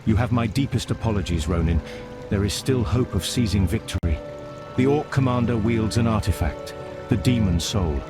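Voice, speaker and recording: A wise male mentor wizard, acted by a man, talks in a fairly low, solemn voice. He lays things out gravely, like a game cutscene. Loud music runs behind every word. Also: lightly acted. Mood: solemn hope. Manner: solemn, measured exposition. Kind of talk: fantasy game cutscene acting.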